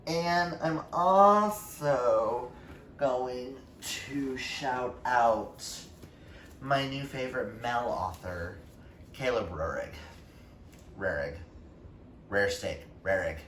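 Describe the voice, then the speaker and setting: A man chatting casually about books as a vlogger, gushing and emphatic with dramatic pauses. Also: medium pitch, a bit bright. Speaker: book reviewer vlogger, reading challenge co-host. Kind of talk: casual book vlog chat. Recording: roomy, distant mic, faint noise.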